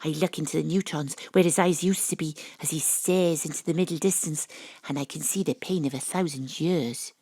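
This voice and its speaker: A woman's voice: sits low, steady tone, a little fast, hardly any pauses, warm tone, husky, no echo. A woman playing a brash, cocksure amateur detective in an audio drama, narrating theatrically with a knowing, conspiratorial manner. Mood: hushed, conspiratorial.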